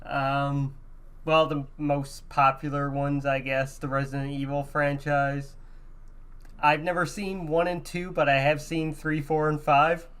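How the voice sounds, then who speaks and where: A man's voice: a little high, level tone, unhurried, a bit bright, smooth. A man co-hosting a podcast in a casual, conversational style. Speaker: podcast co-host. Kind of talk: casual chat about movies and games.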